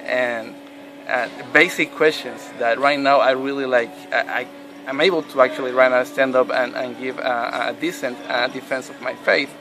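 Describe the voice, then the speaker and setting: A man in his thirties from Puerto Rico, telling his faith story in an interview with many pauses and restarts. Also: mid-range voice, steady tone, poor audio. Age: 30s. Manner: hesitant, many restarts and fillers. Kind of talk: personal testimony interview.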